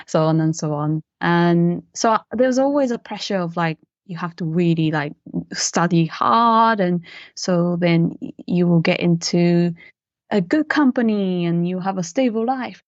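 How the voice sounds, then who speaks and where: Low-voiced woman, muffled audio. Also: darkish tone, no room sound. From Japan. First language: Japanese. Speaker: interview guest, miniature artist. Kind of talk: casual interview chat.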